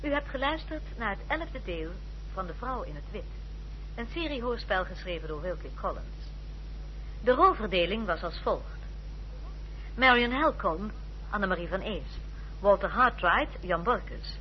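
A woman announcer reading scripted radio credits in a formal, clearly phrased way. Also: medium pitch, animated, normal speed. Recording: dull old radio recording, faint noise.

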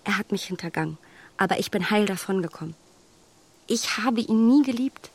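An actress playing a proud, candid young gentlewoman in a radio drama, polite and articulate as she reproaches herself. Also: mid-range voice, animated, moderate pace. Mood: earnest, confessional. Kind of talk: German radio drama acting of a classic novel.

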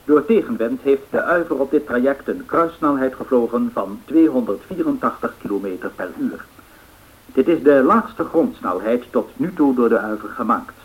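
A man acting a formal, factual 1930s radio newsreader, measured, through an old radio filter. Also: mid-range voice, lively intonation. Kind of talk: 1930s news bulletin in radio drama. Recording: tinny narrow-band radio sound.

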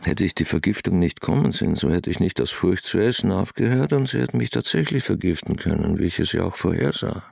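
Radio play role: elderly suspicious genius, low-pitched, heavily fried voice, ruminative and pedantic. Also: male voice, expressive, fast talker, hardly any pauses, a little mellow, heavily acted. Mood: pedantic, brooding. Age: older. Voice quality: husky, gravelly. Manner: pedantic, ruminative, formal reasoning. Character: dead paranoid old genius logician, pedantic, suspicious. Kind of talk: radio drama acting.

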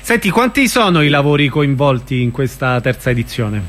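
A man's voice: medium pitch, sing-song, brisk, fairly bright tone, slight background noise. A man hosting a radio interview, asking brief questions and keeping it moving. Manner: brief prompts and backchannel. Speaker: radio host. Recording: radio broadcast.

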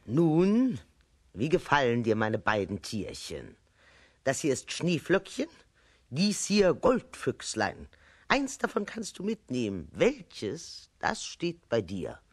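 A man playing a gaunt, taunting old witch woman in a children's radio play, with a creaky, sharp voice. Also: medium pitch, huge pitch swings, slow pace, heavily acted. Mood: sly. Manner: taunting, commanding.

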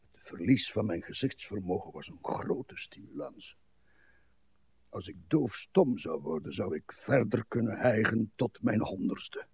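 An actor playing an ailing, blind old husband who grumbles at length in a morbid, crotchety way, in a literary radio play. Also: male voice, fairly low pitch, vocal fry, a little mellow, breathy edge, heavily acted. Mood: gloomy musing. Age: older. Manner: morbid, querulous, long-winded grumbling with musing asides. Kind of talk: literary radio drama acting.

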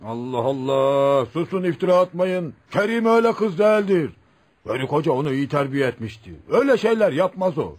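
A man playing a respected old village uncle in a radio drama, husky and indignant, stubbornly protective. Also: on the high side, very animated, brisk. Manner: exclaiming, indignant, dismissive.

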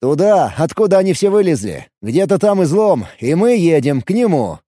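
A man's voice: fairly high pitch, big pitch swings, normal speed, warm tone, dry recording, clean studio sound. A man playing a bold, brash fighter and leader with a commanding, urgent delivery in an audiobook. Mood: reassuring, bold.

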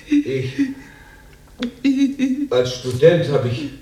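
A man's voice: medium pitch, huge pitch swings, very slow, warm tone, a little hiss or hum, exaggerated voice. A man acting a flustered, anxious radio employee in a radio drama, stammering in disbelief. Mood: incredulous. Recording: echoey room, distant from microphone.

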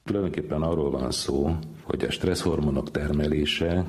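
A middle-aged man, a stress researcher, calmly explaining science in an interview. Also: a bass voice, a little hiss or hum. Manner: measured, explanatory.

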